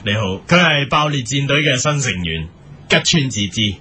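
A man's voice: medium pitch, sing-song, moderate pace, lightly acted. A man playing a bossy, earnest superhero team leader in a comic radio drama, scolding and commanding melodramatically. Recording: noisy amateur recording.

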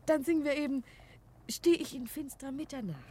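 A woman's voice: high voice, fairly quick, mellow, slight background noise, close to an everyday voice. A female actor in a German radio play, playing a bashful, naive young lady being courted. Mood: flustered, eager.

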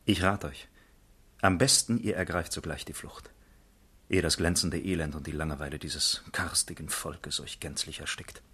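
An actor playing a cynical, world-weary gentleman in a literary radio play, confiding and mocking in a theatrical way. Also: extremely low pitch, steady tone, normal speed, husky, exaggerated voice. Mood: sardonic, weary.

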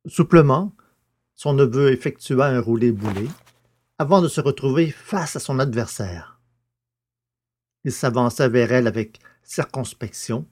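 Male storyteller with medium pitch. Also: a little mellow. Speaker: narrator. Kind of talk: scripted story narration.

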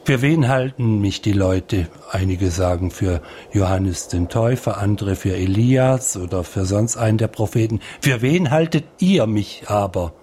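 A man's voice: on the low side, a little slow, hardly any pauses, a little hiss or hum. A man giving engaged, spontaneous commentary on a film, exclaiming and explaining as he goes. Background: loud music.

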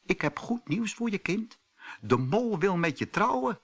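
Guy playing a fairy tale mouse lady, motherly n bossy, high put-on voice, coaxing. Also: big pitch swings, measured pace, a bit bright, husky, exaggerated voice. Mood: firm, bossy.

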